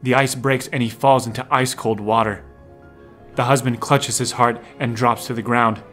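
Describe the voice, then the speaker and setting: A man's voice: medium pitch, fairly even intonation, moderate pace, a bit bright. A man narrating a scripted audio drama in the present tense. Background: music.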